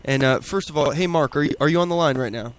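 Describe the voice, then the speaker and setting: A sick man hosts a casual talk podcast, his voice worn as it starts to give out. He speaks in halting starts and often repeats words. His voice sits slightly high for a man. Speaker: podcast host. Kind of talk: casual political talk show.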